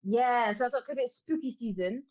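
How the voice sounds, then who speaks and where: Laid-back woman co-host just chatting, voice on the higher side, kinda muffled lo-fi sound. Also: moderate pace, a little mellow. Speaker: podcast co-host. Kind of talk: casual podcast chat.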